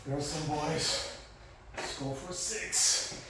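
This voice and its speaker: A man's voice: fairly high pitch, drawn-out words, recorded from a distance, heavy background noise. Congested man coaching in echoey garage. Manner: energetic, encouraging, counting reps. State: congested, blocked sinuses. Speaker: fitness coach. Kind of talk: coaching a home workout. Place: garage gym.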